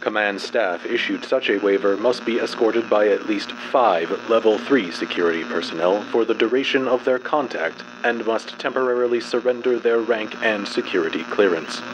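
Guy with a lowish voice reading a creepy case file, formal and clinical, buzzy hum behind him. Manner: formal, clinical, measured delivery. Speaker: narrator. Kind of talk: dramatic scripted narration of a horror fiction file.

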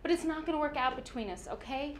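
A woman's voice: high voice, fast talker, close to an everyday voice. A woman playing an exasperated dating-game contestant who scolds her ex-husband, on a small theater stage. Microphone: far camera mic. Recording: echoey stage with background noise.

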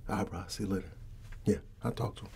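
A middle-aged man doing a casual ASMR barber roleplay, playing an easygoing uncle in a soft, breathy, often whispered voice and pausing for unheard replies. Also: fairly low pitch, a little hiss or hum. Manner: unhurried, familiar and colloquial, with pauses for unheard replies. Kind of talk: ASMR roleplay, casual one-sided chat.

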